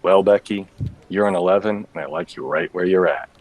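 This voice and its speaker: An actor playing a bitter, boozy, clueless android-hunting detective in a noir radio play, with a hard-boiled, melodramatic deadpan. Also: male voice, sits low, fairly quick, poor audio. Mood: cocky.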